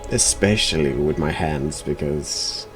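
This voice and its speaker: A man streaming a horror game, giving casual, dry, sardonic replies in a deadpan way. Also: rumbling low, a little slow. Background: music.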